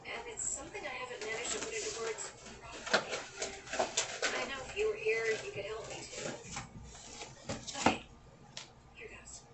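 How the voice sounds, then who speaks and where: A woman's voice: on the high side, sing-song, normal speed, pauses often, reverberant, far from the mic, very noisy. A woman playing a young, wry New Yorker grieving a friend, in an audio drama that mixes dialogue and narration. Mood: wistful. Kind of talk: audio drama acting with first-person narration.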